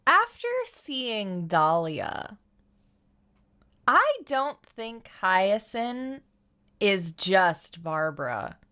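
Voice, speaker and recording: A woman game streamer chatting casually on a livestream in an animated, exclamatory way. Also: medium pitch, drawn-out words. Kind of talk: casual livestream chat, reading game dialogue aloud.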